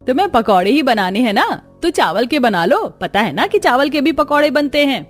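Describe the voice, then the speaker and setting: A woman's voice: high voice, big pitch swings, a little fast, voice pushed up. A middle-aged cartoon mother-in-law, voiced by a woman, homely but bossy. She hands out demands to the household. Faint music sits underneath. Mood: instructing. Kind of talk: Hindi cartoon story dialogue.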